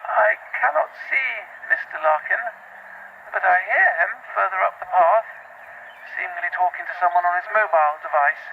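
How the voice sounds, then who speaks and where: A performer playing a weary, defiant Victorian gentlewoman in an audio drama, speaking in composed, formal, old-fashioned phrases. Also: mid-range voice, expressive, normal speed, gravelly. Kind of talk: audio drama acting and recorded diary. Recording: thin phone-like sound, faint noise.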